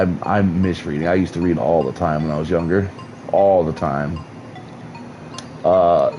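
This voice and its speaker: A man streams a game, chatting in a deep, mellow voice that sounds a bit worn, as if he is losing it. He rambles and restarts his sentences, swearing casually. Faint music plays underneath. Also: poor audio. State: losing his voice, mouth numb. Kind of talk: casual gameplay commentary.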